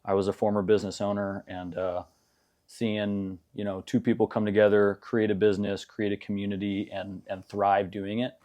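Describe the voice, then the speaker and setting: A man's voice: fairly low pitch, level tone, normal speed, a little mellow. A young man answering interview questions in a casual, hesitant way, with many fillers and restarts. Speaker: gym member being interviewed.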